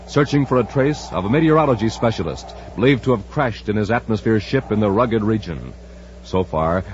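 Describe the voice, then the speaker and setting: A man announcing in a 1950s radio style, emphatic and theatrical, like a serial narrator and pitchman. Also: a little mellow. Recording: noisy, muffled old radio recording.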